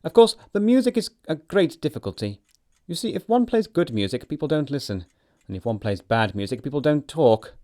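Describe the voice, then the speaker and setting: Actor as glib young English dandy; lightish voice, lively intonation, airy and obliging, period comedy. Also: male voice, fairly high pitch, a little fast. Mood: breezy, placating. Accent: English upper-class. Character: flippant, smooth-talking young gentleman nephew. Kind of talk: stage comedy audiobook acting.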